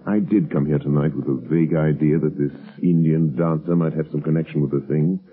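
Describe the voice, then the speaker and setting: Very deep-voiced suave radio crime fighter. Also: male voice, steady tone, fairly quick, breathy edge. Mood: grave, resolute. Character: suave, watchful male crime fighter turned menacing unseen avenger. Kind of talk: 1930s radio drama acting. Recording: muffled, band-limited 1930s radio recording, faint music.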